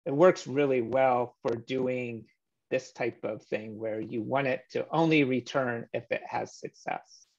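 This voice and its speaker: Gravelly-voiced man explaining code. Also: medium pitch. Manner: relaxed, explanatory, many "um" fillers. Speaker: meetup presenter. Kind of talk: screen-share coding walkthrough. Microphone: webcam or laptop mic on a video call. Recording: slightly dull video-call audio.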